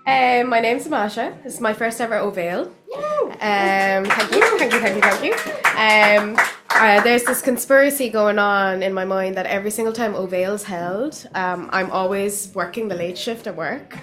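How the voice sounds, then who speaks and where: A woman's voice: mid-range voice, normal speed, heavy background noise. A woman with a Cork accent introducing herself at her first open mic, chatty and nervous with lots of fillers. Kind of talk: casual stage introduction.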